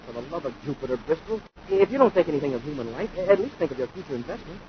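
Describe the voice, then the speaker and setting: An actor playing an earnest, excitable young space cadet in a 1950s radio serial. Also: male voice, fairly high pitch, rapid-fire, lightly acted. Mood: urgent. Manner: eager, urgent. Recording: noisy, dull 1950s radio recording.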